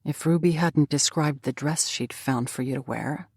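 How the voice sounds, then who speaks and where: A woman voicing a courteous, flustered gentleman. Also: sits low, moderate pace, slightly rough, dry recording, clean studio sound. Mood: polite. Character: polite, apologetic young male gentleman.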